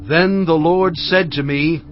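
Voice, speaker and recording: Male actor as aged leader, higher pitch, grave recounting, scripture drama, soft music behind. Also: steady tone, muffled recording, close to an everyday voice. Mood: solemn, recounting. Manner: solemn, deliberate storytelling.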